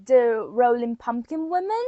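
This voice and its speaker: Lively woman chatting, slightly dull sound. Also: a little high, measured pace, no echo. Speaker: co-performer voicing characters. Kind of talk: casual chat.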